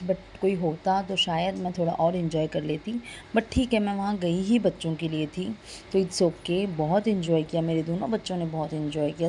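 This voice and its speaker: A young woman narrates a vlog in a soft, mellow voice that sits a little low. Her throat is sore and a bit hoarse, and she chats casually, dropping in English words. There is faint noise behind the recording. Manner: conversational, mixes in English words. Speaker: vlogger narrating a family outing. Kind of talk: casual voice-over narration, chatty.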